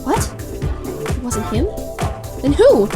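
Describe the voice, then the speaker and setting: An actress playing a defiant, vengeful young vigilante heroine in a radio drama. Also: female voice, high voice, sing-song, slow pace, loud music behind the voice, heavily acted.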